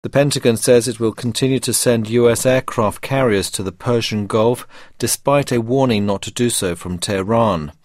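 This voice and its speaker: A man's voice: medium pitch, moderate pace, dry recording, studio quality. A man reading a scripted news bulletin on the radio. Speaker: radio newsreader.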